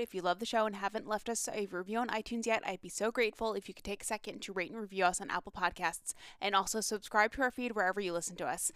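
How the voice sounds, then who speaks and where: A woman hosts a podcast and talks briskly with her guest. Her voice is close and dry, with no room sound around it. She keeps her questions upbeat and friendly. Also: medium pitch, a bit bright, smooth, no room sound. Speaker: podcast host. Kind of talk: casual podcast interview.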